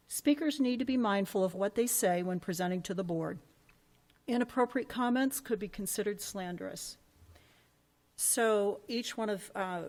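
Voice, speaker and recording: A woman chairing a board meeting, reading procedural rules and calling speakers in a plain, formal way. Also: medium pitch.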